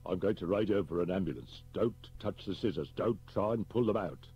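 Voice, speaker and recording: A man's voice: low voice, lively intonation, a little fast, slightly rough, highs cut off, faint music behind, exaggerated voice. A man voicing a practical, matter-of-fact police detective.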